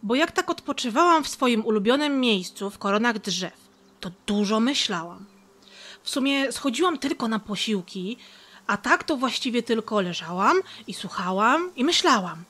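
A woman playing a lively, affectionate, chatty red squirrel in an audio drama, eager and effusive. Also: a little high, normal speed. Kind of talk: audio drama character acting.

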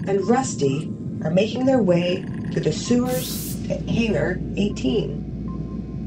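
A woman's voice: mid-range voice, recorded from a distance, poor audio. A woman playing a serene, literal-minded artificial crewmate in a sci-fi audio drama, speaking formally and precisely. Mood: calm, matter-of-fact.

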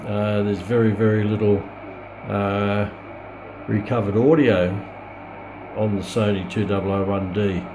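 Halting Australian man over noisy radio static. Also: fairly low pitch, level tone. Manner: hesitant, halting, thinking aloud. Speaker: radio hobbyist presenting a video test. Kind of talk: casual unscripted product demonstration. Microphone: phone camera held back from speaker. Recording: loud radio static and hiss, phone camera. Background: shortwave radio noise.

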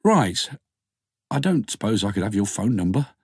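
A man's voice: lowish voice, sing-song, moderate pace, breathy edge, dry recording, studio quality. A man voicing a keen, slightly awkward thirties male doctor in an audiobook, chatty and tentative. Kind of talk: audiobook character dialogue.

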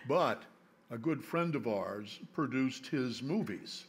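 An older man interviewing an author on a library stage before a seated audience, asking measured, searching questions with small hesitations. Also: medium pitch, expressive, a little hiss or hum. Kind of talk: onstage conversation.

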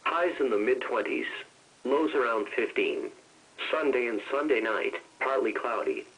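A man reading a scripted weather bulletin as a radio announcer, in a measured, impersonal, synthesized-sounding way, heard over weather radio. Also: mid-range voice, animated, a bit bright, husky, poor audio. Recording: weather radio broadcast picked up off a speaker.

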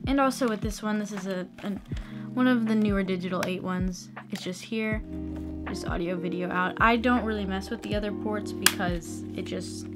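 A woman's voice: medium pitch, fairly even intonation, normal speed. A young American woman explaining things in a casual, chatty vlog style, recorded indoors in a small room. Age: young adult. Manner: chatty, informal. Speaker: video tutorial presenter. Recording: music playing behind the voice. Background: plucked guitar music.

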